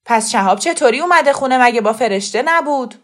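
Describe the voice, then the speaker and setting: A woman's voice: high-pitched, animated, a bit bright, voice pushed up. A woman voicing a brusque, impatient young man in an audiobook story.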